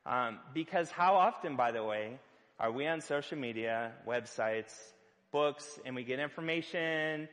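A man preaching a sermon as pastor, loud-voiced and conversational, with filler words and playful asides. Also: mid-range voice, unhurried, big-room sound.

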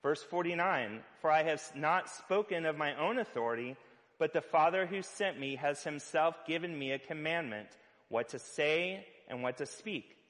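A man preaching a sermon as pastor, loud-voiced and conversational, with filler words and playful asides. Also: on the high side, lively intonation, moderate pace, long reverb tail, rough recording.

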